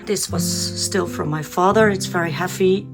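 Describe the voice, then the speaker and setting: A Dutch-accented woman in her forties speaks in a fairly low voice, shy and a little halting but earnest, as she shows around her home studio. Clear guitar music plays underneath her words. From Netherlands. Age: 40s. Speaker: vlogger. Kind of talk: casual studio tour chat.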